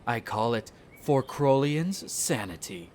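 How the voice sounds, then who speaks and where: A man playing a smug, bitter vampire noble, the eldest son, in an audio roleplay, speaking in a haughty, sardonic way with drawn-out theatrical phrasing. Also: medium pitch, lively intonation, a little mellow, slight background noise. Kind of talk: scripted audio roleplay acting addressed to the listener.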